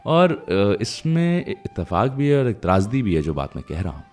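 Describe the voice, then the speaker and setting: A man's voice: lowish voice, big pitch swings, normal speed, a little mellow. A man with an Indian accent hosting a radio show, talking to listeners in a conversational, reflective storytelling way about music.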